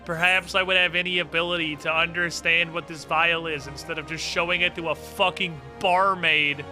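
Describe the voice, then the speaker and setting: Bright, sharp-voiced sarcastic man streaming a game. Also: on the high side, little pitch movement, moderate pace. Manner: sarcastic, profane. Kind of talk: casual game stream banter, reading game text aloud. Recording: game music under the voice.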